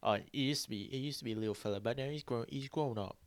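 A man hosting a podcast in casual, joking chat, with a deep voice that others find relaxing. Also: very fast, no room sound. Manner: joking, teasing banter. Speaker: podcast host.

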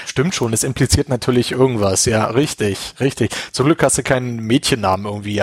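A man's voice: medium pitch, husky, dry recording, studio quality. A man from Berlin hosting a casual interview, chatty and joking. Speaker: interview host.

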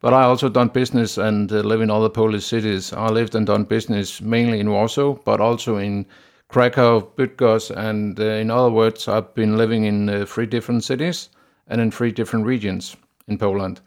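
Danish-accented man with a gravelly voice. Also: fairly low pitch. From Denmark. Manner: steady, plain delivery with frequent "uh" pauses. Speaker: podcast host. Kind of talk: solo business podcast monologue.

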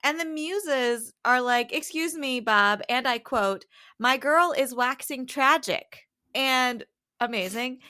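A woman's voice: a little high, sharp tone. A woman chatting casually as a podcast co-host, enthusiastic and acting out quotes as she recaps. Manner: enthusiastic, quoting characters in a dramatized way.

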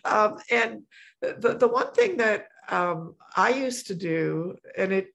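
Middle-aged woman, podcast host, gravelly voice, chatty, lots of fillers and restarts. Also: medium pitch, lively intonation, slow pace, fairly bright tone. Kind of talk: casual conversation.

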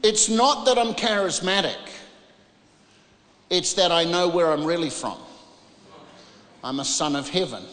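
A man from New Zealand with a New Zealand accent preaches an emphatic, informal charismatic sermon, using dramatic pauses and rhetorical questions. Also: on the high side, a bit bright. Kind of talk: charismatic sermon, part exhortation and part Bible reading. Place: large reverberant room, preaching to a congregation. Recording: echoey, reverberant large room.

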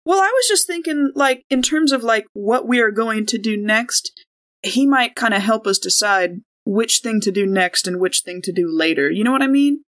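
A woman's voice: a little high, expressive, moderate pace. A woman playing a tabletop game, talking through options in an informal, hedging, conversational way. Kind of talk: casual in-character tabletop discussion.

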